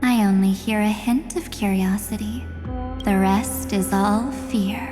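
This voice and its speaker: A cold, mysterious young woman from a video game, acted by a woman, menacing and composed. She taunts in slow, measured phrases at a middle pitch. The voice rings in a long hall-like reverb over faint music. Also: fairly even intonation, warm tone, close to an everyday voice. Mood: cold, taunting. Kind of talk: scripted video game acting.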